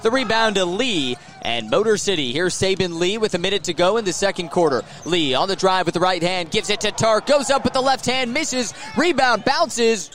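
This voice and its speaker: Male, American, high-pitched voice, basketball play-by-play announcer, punchy delivery, excitable as the action builds. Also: moderate pace, few pauses. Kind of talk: live basketball play-by-play commentary. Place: live inside a sports arena.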